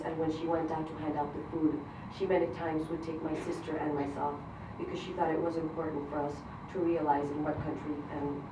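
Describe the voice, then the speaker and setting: Low-voiced woman speaking in an echoey room. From Colombia. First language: Spanish. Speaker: grant recipient giving a speech. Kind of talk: prepared personal speech. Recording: roomy, distant mic, noisy event hall.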